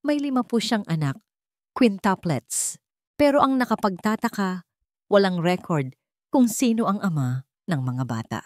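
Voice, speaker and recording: A woman's voice: mid-range voice, sing-song, a little slow, pauses often, no echo, voice pushed up. Deferential male aide acted by a woman, Filipino accent, polite and hesitant, dry audio-drama recording.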